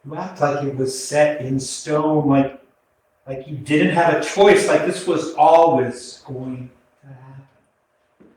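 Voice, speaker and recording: A man's voice: fairly high pitch, steady tone, slow, darkish tone. A man in a staged play reading, acting a victim who questions a killer in a searching, hesitant manner. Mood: pleading, searching. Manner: searching, hesitant, repeats phrases. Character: probing male questioner, a killer's victim demanding remorse. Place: arts center stage, indoors, recorded from afar. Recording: very echoey hall, distant mic, background noise.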